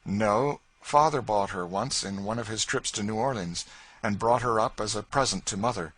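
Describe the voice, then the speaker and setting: A man reading an audiobook, voicing a courteous, earnest young gentleman who gives long accounts. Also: on the low side, normal speed, no echo, pitched above the performer's own voice, poor audio. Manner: earnest, warm-hearted recounting. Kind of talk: audiobook character voice.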